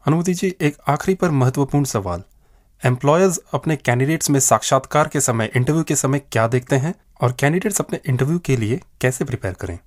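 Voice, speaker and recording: A man's voice: medium pitch, animated, fairly quick. A man asking scripted, formal questions as an interviewer.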